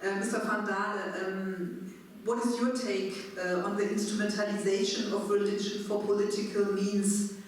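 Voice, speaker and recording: A woman's voice: medium pitch, flat delivery, normal speed. A woman moderating a panel debate, polite and a little hesitant as she thanks speakers and asks questions. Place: large conference hall. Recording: echoey hall, voice far off.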